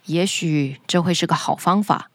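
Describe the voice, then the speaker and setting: A woman's voice: low-pitched, moderate pace, noisy background, pitched below the performer's own voice. A woman voicing an earnest, gloomy young man in a fairy tale, subdued and musing. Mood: hesitant hope.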